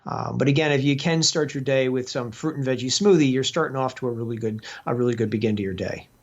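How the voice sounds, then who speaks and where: A man's voice: medium pitch, fast talker. Man, guest expert, chatty, restarts sentences, dull lo-fi audio. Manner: chatty, explanatory, many fillers and restarts. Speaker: exercise physiologist and guest. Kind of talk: casual interview over a call. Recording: dull lo-fi sound.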